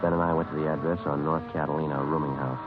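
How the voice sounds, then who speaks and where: An actor playing a terse, businesslike police detective and narrator in a vintage radio crime drama, clipped and deadpan. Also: male voice, rumbling low, very fast. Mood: matter-of-fact. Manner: clipped, deadpan, matter-of-fact. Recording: lo-fi vintage radio recording, music underneath.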